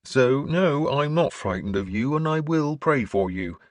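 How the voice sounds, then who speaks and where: A man voicing a gentle, earnest scholarly bishop in an audiobook, with mild manners and a preacher's fervour. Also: mid-range voice, expressive, measured pace, husky, no echo, pitched above the performer's own voice. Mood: firm, kindly. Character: gentle, earnest scholarly priest turned bishop, quietly sly.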